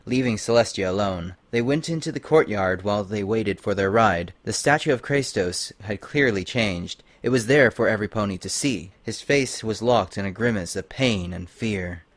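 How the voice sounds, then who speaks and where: A man's voice: on the low side, normal speed, hardly any pauses, no echo. A man reading a scripted fantasy story aloud as an audiobook narrator.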